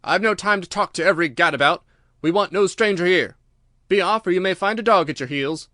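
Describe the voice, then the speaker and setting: A man's voice: high-pitched, brisk, dry recording, clean studio sound, pitched above the performer's own voice. A man voicing a fierce, blustering elderly bully of a horse trainer in a storybook reading. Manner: blustering, shouting.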